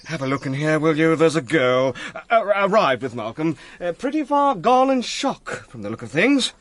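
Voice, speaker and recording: Radio drama officer: polite English colonel, lightish pitch, cautious, clipped, varied intonation. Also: male voice, big pitch swings, moderate pace, no room sound. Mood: understated. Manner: polite, hesitant, clipped understatement. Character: courteous, cautious English colonel, devoted to his mother.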